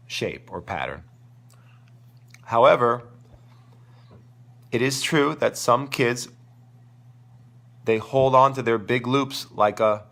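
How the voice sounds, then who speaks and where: A man's voice: medium pitch, a bit bright. A man with a sore, crackly voice hosting a live tennis coaching Q&A, talking in an enthusiastic, rambling way. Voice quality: crackly, gravelly voice. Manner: enthusiastic, rambling, thinks aloud. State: sore throat, losing his voice. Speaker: tennis coach and show host. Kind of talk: live-stream Q&A talk.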